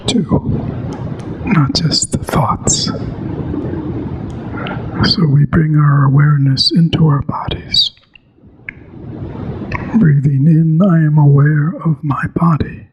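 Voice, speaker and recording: A man teaching meditation in a measured, halting way with long pauses, as in a guided practice talk. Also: steady tone. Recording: clear background noise, ambient rumble.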